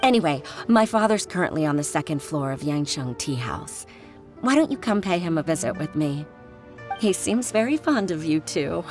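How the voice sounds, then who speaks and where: Polite worried daughter in a game, deep-ish female voice, composed n earnest. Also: very animated, normal speed, a little mellow, faint music behind. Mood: composed, hopeful. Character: polite young professional woman, dutiful worried daughter. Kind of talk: video game voice acting.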